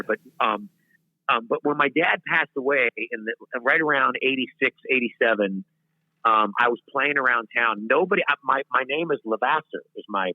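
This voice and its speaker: A man's voice: mid-range voice, no room sound. A man in his fifties tells long, winding stories as an interview guest, often breaking off and starting his sentences again. His voice comes through a thin, phone-like line with a very dry sound and no room around it. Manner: rambling, self-interrupting, laughs at himself. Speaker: singer-songwriter guest. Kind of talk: storytelling interview chat. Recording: tinny phone-line audio.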